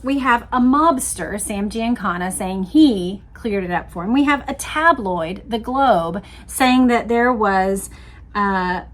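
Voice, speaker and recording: A woman host reading aloud from a book and commenting casually, in a conversational, explanatory way to her listeners. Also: on the high side.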